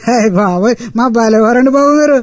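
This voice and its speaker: A woman's voice: a little high, brisk, no echo, close to an everyday voice. A woman doing a touchy North Coastal Andhra villager man. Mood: indignant. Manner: offended, protesting, long drawn exclamations. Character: indignant rustic villager man, touchy and talkative.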